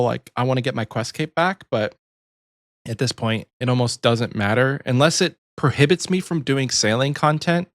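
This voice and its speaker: A man in his early thirties co-hosting a podcast, easygoing and quick to laugh. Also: mid-range voice, no echo, clean studio sound. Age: 30s. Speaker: podcast co-host. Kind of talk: casual chat.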